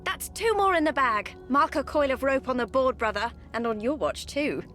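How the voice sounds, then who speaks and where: High-voiced, brash warrior sister. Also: female voice, lively intonation, moderate pace, a bit bright, soft background music. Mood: cocky. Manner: cheeky, combative. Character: brash, hot-headed, teasing young warrior sister. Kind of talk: video game voice acting.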